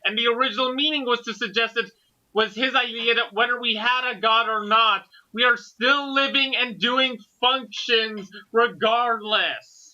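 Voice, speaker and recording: A man's voice: very high-pitched, normal speed, sharp tone, dull audio. A man giving casual commentary as part of a group discussion.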